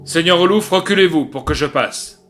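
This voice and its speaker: A man's voice: on the high side, moderate pace, fairly bright tone, faint music behind, voice pushed up, natural voice. Man voicing a haughty spectral knight, raised commanding voice. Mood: imperious. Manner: commanding, formal. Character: haughty spectral knight, a menacing male double. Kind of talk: literary audiobook dialogue.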